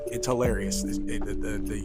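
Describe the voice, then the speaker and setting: Young man, twenties, casual filler-heavy ranting, loud and exasperated when riled, over clear background music. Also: fairly low pitch, steady tone, a little fast. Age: 20s. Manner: rambling, filler-heavy, says "like" constantly. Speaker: youth coach and vlogger. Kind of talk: casual rant to camera.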